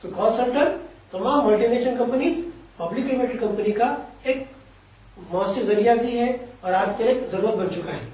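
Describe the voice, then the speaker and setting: A man with a high, mellow voice patiently explains careers in long, winding sentences. He sounds set back from the mic in a very echoey room. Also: moderate pace, far from the mic. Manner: long explanatory sentences, Urdu-flavoured Hindi with English terms. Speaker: career guidance expert. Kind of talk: explanatory interview answers.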